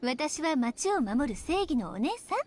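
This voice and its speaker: A woman's voice: high-pitched, very animated, brisk, over a noisy crowd. A woman playing a flippant exorcist teacher in a visual novel, with a breezy, teasing, theatrical delivery. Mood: breezy, smug. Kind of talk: Japanese visual novel voice acting. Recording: loud game music over the voice. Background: loud game music.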